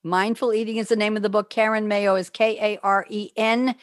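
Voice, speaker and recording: A woman's voice: medium pitch, level tone, brisk, no room sound, studio quality. An older woman with a New York accent, hosting a radio talk show and telling rambling stories with frequent laughter. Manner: chatty, rambling storyteller, laughs often. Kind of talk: casual chat with guests.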